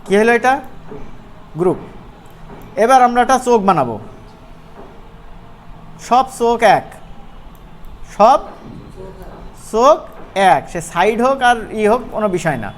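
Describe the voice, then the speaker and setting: A man with a Bangladeshi accent giving an informal, playful software tutorial as an instructor, often checking in with his listeners. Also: very high voice, big pitch swings, unhurried, long pauses, a little hiss or hum. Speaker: animation instructor.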